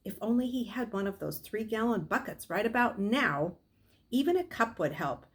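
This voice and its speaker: A middle-aged woman reading a novel aloud as a storyteller, in expressive narration. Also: a little high, normal speed. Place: small furnished room at home, indoors.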